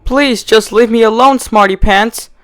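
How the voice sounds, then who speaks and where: A man's voice: high-pitched, unhurried, fairly bright tone, smooth, faint music behind, voice pushed up. A man voicing an unhinged young female pony in a storybook reading, with an emphatic, girlish delivery. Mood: frantic pleading. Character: young female pony, unhinged, chirpy, desperately cheerful or frantic.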